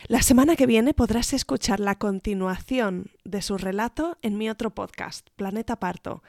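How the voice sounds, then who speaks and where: A woman's voice: fairly high pitch, vocal fry, a little mellow. A woman hosting a podcast, reading a scripted intro and then asking her guests questions in a friendly, enthusiastic way. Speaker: podcast host.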